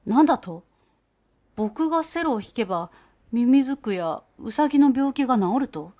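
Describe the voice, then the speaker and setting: A woman voicing a blunt, gruff young man who plays the cello, in a storybook reading. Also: a little high, lively intonation, normal speed, dark tone. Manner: blunt, rough speech.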